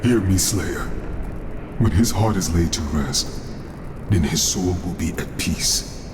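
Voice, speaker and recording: Extremely deep, echoing old exiled warrior, weary and sorrowful, dramatic video game role over loud music. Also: male voice, slow, background noise. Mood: solemn pleading. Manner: weary, grave, portentous. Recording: echoing hall reverb, loud music behind. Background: loud game score.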